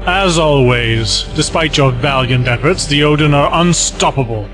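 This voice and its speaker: A man's voice: fairly high pitch, normal speed, lightly acted, poor audio. A man playing a cruel, commanding Time Lord villain in an audio drama, pompous and menacing. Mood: smug menace. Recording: music behind.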